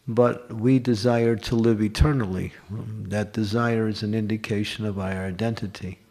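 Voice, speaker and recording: A man's voice: on the low side, vocal fry, darkish tone. A man giving a scripture class at a temple, explaining step by step and posing and answering his own questions. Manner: lists examples in short runs.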